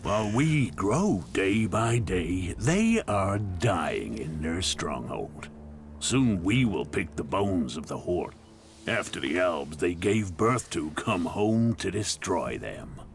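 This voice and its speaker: A man's voice: deep voice, big pitch swings, takes it slowly, a little hiss or hum, heavily acted. A man playing a ruthless gang boss who rules a wasteland town, menacing, boastful and blunt, in a video game. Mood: boastful, scornful.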